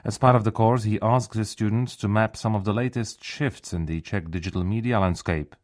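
Low-voiced radio presenter guy, steady scripted announcing. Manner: measured announcer delivery.

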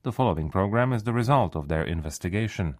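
Deep-voiced man presenting a radio show. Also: lively intonation, slight background noise. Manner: measured announcer delivery. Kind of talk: scripted news presenting.

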